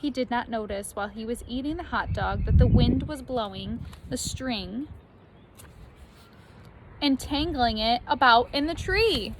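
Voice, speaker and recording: A woman reading a children's story aloud like a teacher, expressively, with animated emphasis on key words. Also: fairly high pitch, unhurried, noisy background.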